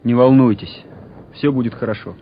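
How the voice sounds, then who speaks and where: An actor playing an earnest, courteous young junior lieutenant in a Soviet radio drama. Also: male voice, mid-range voice, steady tone, normal speed, a little hiss or hum, close to an everyday voice. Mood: gentle. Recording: muffled old radio drama recording.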